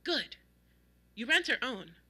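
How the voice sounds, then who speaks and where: A woman's voice: a little high, sing-song, takes it slowly, sharp tone, husky, exaggerated voice. A woman voicing a demanding, exasperated old male neighbor, blunt and emphatic, in a spoken anecdote. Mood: pushy, conspiratorial. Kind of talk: acted quotes in a spoken anecdote.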